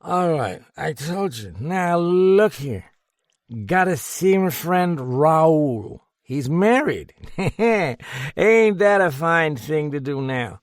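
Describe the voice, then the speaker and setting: A sloppy, boisterous drunk young American man, slurring and rambling in a much higher, louder, drawn-out voice. His pitch swoops wildly, and the sound is dry and close. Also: lively intonation, no room sound.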